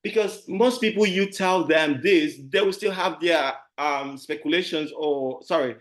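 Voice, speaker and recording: Guy on a webinar call, higher-pitched voice, lots of uh and um, keeps fixing his words. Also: measured pace, poor audio. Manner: hesitant, many fillers and self-corrections. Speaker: webinar panelist. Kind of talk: online panel talk. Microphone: video call.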